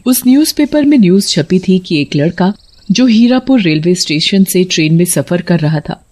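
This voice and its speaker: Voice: medium pitch, a little mellow. A narrator reading a scripted horror story in the third person.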